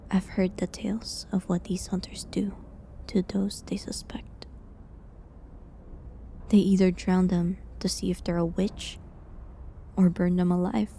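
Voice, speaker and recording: A woman playing a gentle, sorrowful village herbalist condemned as a witch, speaking in a hushed, halting way in a dramatic audio roleplay. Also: lowish voice, level tone, a little hiss or hum. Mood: resigned dread. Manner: hushed, breathy, halting delivery.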